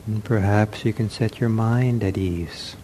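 A man teaching a guided meditation in gentle, soothing short phrases with long pauses. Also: lowish voice, slight background noise.

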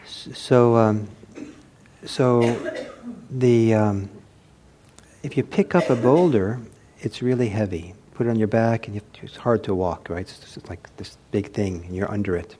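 A man giving an informal meditation talk, reflective and hesitant as he searches for words, with a soft, husky voice. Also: fairly low pitch, unhurried, a little hiss or hum. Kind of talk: informal spoken teaching with anecdotes.

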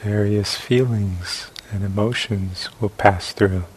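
Very slow-speaking man guiding meditation. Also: on the low side, fairly even intonation, breathy edge, poor audio. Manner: sparse short phrases with long pauses. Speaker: meditation teacher. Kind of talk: guided meditation. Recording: faint hiss and background noise.

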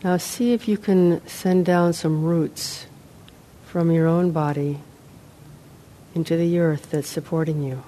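A woman meditation teacher leading a guided meditation, unhurried, in short phrases with long pauses. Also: fairly low pitch, steady tone, warm tone, low-quality recording. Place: meditation center, live talk.

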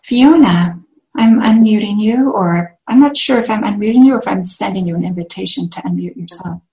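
Gravelly woman hosting a video call. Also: medium pitch, a little fast, dark tone, rough recording. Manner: calls on participants one by one, unmuting them. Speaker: meditation class host. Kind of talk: online group introductions.

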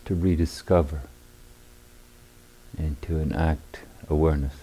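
Male meditation guide, very dark mellow tone, husky, unhurried, leaving long pauses between short phrases. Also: very deep voice, fairly even intonation, poor audio. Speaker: meditation teacher. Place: meditation retreat hall.

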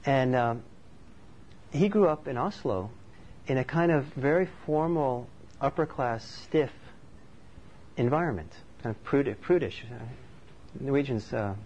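A man's voice: mid-range voice, expressive, a little slow, darkish tone. A man from Norway giving a thoughtful, conversational dharma talk as a meditation teacher. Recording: slightly dull recording with faint background noise.

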